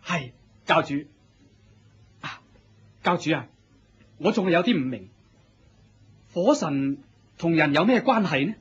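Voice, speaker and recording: A naive, devout young disciple, high-pitched and very breathy-rough, with a Hong Kong Cantonese accent. He sounds humble and eager to please in a muffled radio play. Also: animated, measured pace, long pauses, exaggerated voice. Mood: humble, obedient. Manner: deferential, eager. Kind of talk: Cantonese radio drama acting.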